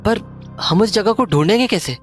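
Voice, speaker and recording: An actor playing an excitable, easily confused young sidekick who banters colloquially in a horror story. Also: male voice, sits high, normal speed. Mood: curious, excitable. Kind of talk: animated horror story dialogue. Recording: faint music behind the voice.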